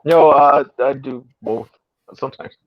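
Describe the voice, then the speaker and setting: A soft-spoken man from Mexico City with a Mexican Spanish accent, a native Spanish speaker answering hesitantly with fillers over a video call. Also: medium pitch, unhurried, no echo. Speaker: guest illustrator. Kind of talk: interview answers. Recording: muffled, dry video call audio.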